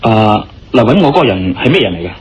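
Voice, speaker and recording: A man playing a confident, courteous adventurer hero in a radio drama, with a Hong Kong Cantonese accent and an expressive, conversational delivery. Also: on the low side, fast talker, crowd noise. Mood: puzzled, brisk. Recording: muffled lo-fi radio drama recording.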